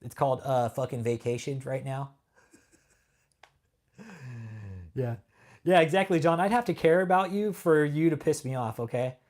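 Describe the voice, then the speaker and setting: A man's voice: fairly high pitch, expressive, normal speed, long pauses, a little mellow. A man from California hosting a live stream, reading chat and taunting a troll with heavy swearing and laughter. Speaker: livestream host. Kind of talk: casual livestream chat.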